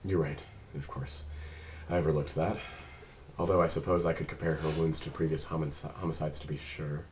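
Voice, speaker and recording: Deep-voiced android cop played by a man, reserved and earnest, precise formal diction, some background noise. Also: little pitch movement. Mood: pensive. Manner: measured, formal, precise phrasing. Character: earnest, reserved male android police detective partner. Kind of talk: table read of a drama script.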